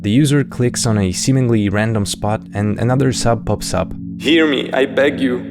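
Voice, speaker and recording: A man's voice: medium pitch, moderate pace. A man giving scripted video commentary as a host, with casual, wry asides. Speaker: host of a horror series breakdown video. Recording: music behind the voice.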